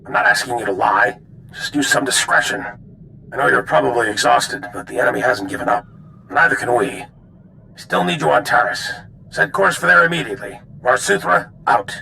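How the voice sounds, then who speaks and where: A man's voice: medium pitch, lively intonation, moderate pace, vocal fry, sharp tone, slight background noise, poor audio. An actor playing a weary Republic general in a video game, grave and authoritative as he speaks. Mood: urgent, authoritative.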